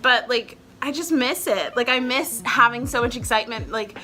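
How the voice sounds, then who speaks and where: A woman's voice: fairly high pitch, moderate pace, sharp tone. A woman in her twenties chatting casually to camera at home as a vlogger host, rambling and filling her talk with "like". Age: 20s. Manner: chatty, rambling, heavy use of "like" fillers.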